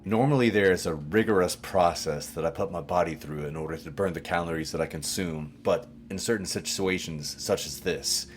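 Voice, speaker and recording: A man improvising casually as a tabletop player, deliberate and dryly comic. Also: low voice, faint music behind. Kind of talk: casual improvised role-play.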